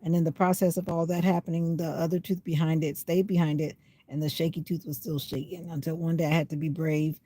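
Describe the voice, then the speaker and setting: An older woman with a slightly raspy voice rambles casually on a livestream, sitting in her parked car while it rains. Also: deep voice, flat delivery, a little fast, warm tone. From USA. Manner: rambling, chatty, talking to herself. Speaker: vlogger. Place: inside a parked car in the rain.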